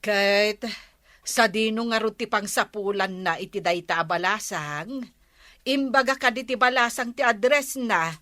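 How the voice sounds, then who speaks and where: Actress as bright, sharp-voiced village councilwoman. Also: mid-range voice, a little slow, dry recording, studio quality. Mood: incredulous. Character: skeptical, bossy older unmarried village councilwoman. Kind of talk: comedy radio drama acting.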